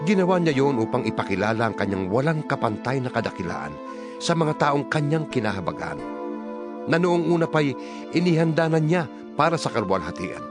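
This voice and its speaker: Expressive male Bible narrator over music. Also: medium pitch. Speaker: scripture narrator. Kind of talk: dramatized Bible reading.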